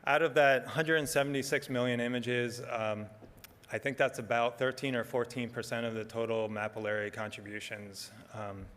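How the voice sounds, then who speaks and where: A soft-spoken middle-aged man giving a relaxed conference talk, with frequent ums as he walks through slides. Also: medium pitch, normal speed, long reverb tail. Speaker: conference speaker. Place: conference hall.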